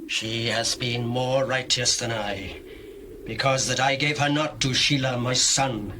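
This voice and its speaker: An actor playing a stern patriarch of ancient times in a scripture drama, speaking solemnly and deliberately. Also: male voice, medium pitch, steady tone, pushed and bright, slight background noise. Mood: grave. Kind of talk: dramatized scripture reading, archaic English.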